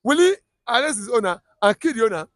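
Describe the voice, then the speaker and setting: A Nigerian man with a Yoruba accent delivers heated political commentary in a high voice. He talks emphatically, repeating words and restarting sentences. The sound is close and dry. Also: very animated, fast, fairly bright tone, dry recording. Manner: emphatic, repetitive, stumbles and restarts often.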